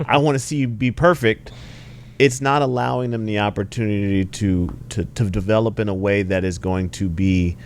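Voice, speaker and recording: Earnest low-voiced man, slow and agreeable. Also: fairly even intonation, slight background noise. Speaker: podcast co-host, basketball trainer. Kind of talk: casual podcast chat.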